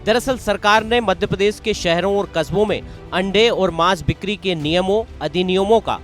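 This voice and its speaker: A man's voice: high-pitched, normal speed, fairly bright tone. A man reading scripted news narration in a formal, emphatic way. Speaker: news presenter. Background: background music.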